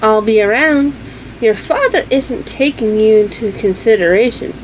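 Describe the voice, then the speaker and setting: A man putting on a gentle heroine's voice. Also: high voice, normal speed, a little hiss or hum, pitched above the performer's own voice, natural voice, poor audio. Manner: soft, gentle. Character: calm young woman superhero, gentle and caring.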